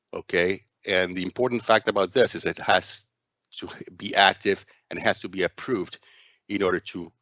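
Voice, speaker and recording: A man narrating a software demo walkthrough as its presenter, speaking in a halting, hesitant way with frequent stumbles. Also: sits low, dry recording, lo-fi sound.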